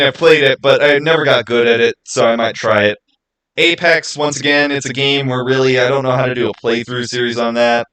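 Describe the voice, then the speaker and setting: A man from the Americas chatting casually as a livestreamer, answering viewers and explaining himself in a halting way with repeated false starts. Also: mid-range voice, fairly bright tone, rough recording. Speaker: live streamer and gaming YouTuber.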